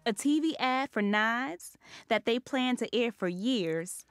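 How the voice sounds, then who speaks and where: A woman playing the narrator of a ghost story audio drama, telling it in a measured, literate way. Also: fairly high pitch, expressive, clear, resonant tone, dry recording, clean studio sound, faint music behind. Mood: composed.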